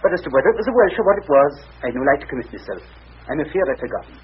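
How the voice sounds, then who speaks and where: A man's voice: fairly high pitch, very animated, rapid-fire, exaggerated voice. An actor playing a canny, evasive Scottish junk-shop man with a Scottish accent in an old radio drama. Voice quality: husky, wheedling. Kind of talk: 1940s radio acting. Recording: dull old radio recording.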